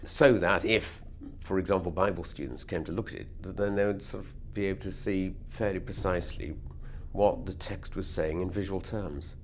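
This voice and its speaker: Low-voiced man explaining. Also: fairly even intonation, normal speed, a little hiss or hum. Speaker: artist. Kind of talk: interview talk.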